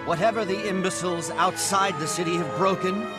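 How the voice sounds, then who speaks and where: A man plays a proud fantasy-game inventor. The voice sits on the high side, with loud music underneath. The delivery is wordy and declamatory, full of self-assurance. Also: brisk, lightly acted. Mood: proud, resolute. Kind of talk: scripted game cutscene acting.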